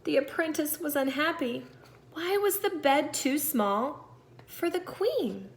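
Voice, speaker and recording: A woman teacher reading a storybook aloud to young children in an expressive, deliberate way, stressing key words. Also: high-pitched, a little hiss or hum. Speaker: second-grade teacher.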